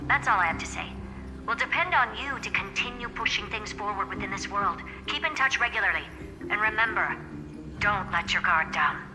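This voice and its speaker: Woman doing a smug genius lady from a game, blunt, bored easily, reverby, loud-ish music behind. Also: medium pitch, lively intonation, normal speed, close to an everyday voice. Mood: commanding. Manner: smug, blunt, matter-of-fact. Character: brisk, haughty genius woman, easily bored, self-assured. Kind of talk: video game voice acting.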